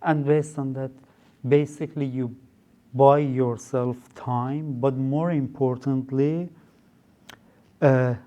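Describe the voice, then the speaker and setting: A man's voice: medium pitch, steady tone, takes it slowly, long pauses, mellow, highs cut off. A young man with a Persian accent, a podcast guest who explains things in a conversational way, with many fillers and restarts. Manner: hesitant, many fillers, rephrases mid-sentence. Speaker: guest, startup founder. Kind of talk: conversational interview.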